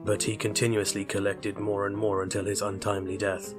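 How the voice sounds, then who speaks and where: A man's voice: fairly low pitch, completely flat delivery, a little fast, over music. A man reading short fiction aloud as scripted narration. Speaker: story reader.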